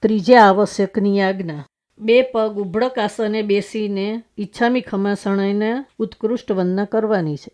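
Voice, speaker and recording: Woman in even, muted liturgical recitation. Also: mid-range voice, smooth. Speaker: leader of a Jain prayer recitation. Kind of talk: liturgical recitation of memorized prayers. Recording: slightly dull, muffled recording.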